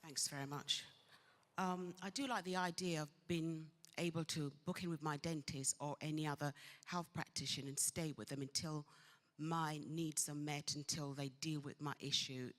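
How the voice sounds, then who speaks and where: A woman from England with a notably low voice raises an earnest, concerned question from the audience. Her words ring out with the long reverb of a big conference hall. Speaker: audience member asking a question. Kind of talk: question from the floor.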